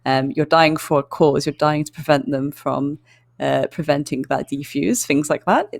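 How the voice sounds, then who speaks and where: A woman casting an esports match, giving measured, chatty analysis with frequent fillers. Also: low voice, gravelly, no echo, studio quality. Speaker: esports caster.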